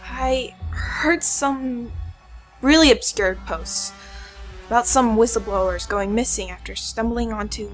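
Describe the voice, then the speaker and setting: A woman's voice: a little high, measured pace, faint music behind, close to an everyday voice. A woman playing a sceptical, probing young hacker in an audio drama, questioning and insistent. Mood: uneasy.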